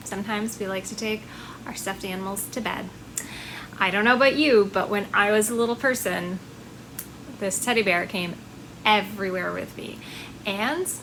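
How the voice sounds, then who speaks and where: A woman's voice: medium pitch, sharp tone, a little hiss or hum, rough recording. A woman working as a children's librarian, presenting storytime in a simple, sing-song way for small children.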